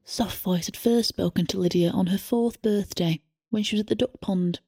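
A woman with a husky voice, narrating a scripted satirical story in a deadpan, matter-of-fact way. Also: medium pitch, normal speed. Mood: matter-of-fact, wry. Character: wry female storyteller narrating a satirical tale.

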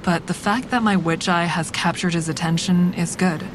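Over clear rumbling traffic noise, an actress plays a hard-edged woman narrating her own drama. Her voice is on the low side, and her delivery is wry, frank and deliberate, in clipped sentences. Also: fairly even intonation, close to an everyday voice. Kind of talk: scripted first-person dramatic narration.

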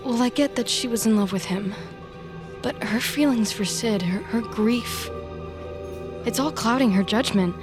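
Woman acting as nervous female office worker, high-ish voice, stammering, defensive, music behind, audio drama. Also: a little slow, close to an everyday voice. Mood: pleading, subdued. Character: anxious evasive female office worker hiding a secret.

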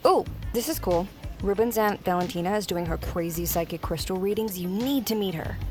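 An actress playing a friendly, teasing, curious young woman in an audio drama, casual and creaky-voiced. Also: mid-range voice, fairly quick, a little mellow, soft background music, close to an everyday voice. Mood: chatty. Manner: playful, casual. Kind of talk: naturalistic audio fiction acting.

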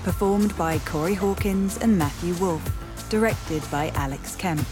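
A woman's voice: lowish voice, a little slow, music in the background. A woman announcer giving a scripted read of podcast credits.

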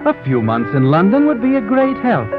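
An actor playing a sardonic, all-knowing narrator of a 1940s radio mystery, taunting the heroine with dramatic needling questions. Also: male voice, sits high, wildly swooping pitch, normal speed, narrow, tinny audio. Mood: sardonic, urgent. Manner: dramatic second-person address, needling rhetorical questions. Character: sardonic, omniscient male narrator taunting the story's guilty heroine. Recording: vintage 1940s radio with music behind.

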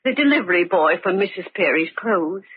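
An actress playing a deferential, slightly hesitant household maid in an old-time radio drama. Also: female voice, fairly low pitch, animated, brisk, husky. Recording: muffled, faintly noisy old radio recording.

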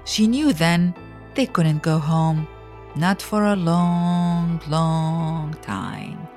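A woman narrator reading a scripted children's biography in a deliberate, expressive storytelling manner. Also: low voice, soft background music. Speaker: audiobook narrator.

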